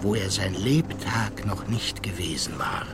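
A man's voice: sits low, measured pace, over music. A man narrating a scripted children's radio drama like a storybook.

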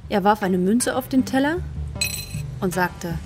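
Lady storyteller for a kids radio play, mid pitch, bit of music behind. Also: a little slow. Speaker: narrator. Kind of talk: scripted children's storytelling narration.

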